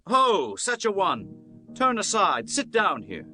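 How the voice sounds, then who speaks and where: A man's voice: sits high, huge pitch swings, slow, over music, exaggerated voice. A man playing an earnest, honorable biblical landowner in solemn, declamatory scripture drama. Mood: hailing. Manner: solemn, declamatory, archaic formal speech. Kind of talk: dramatized scripture audiobook acting.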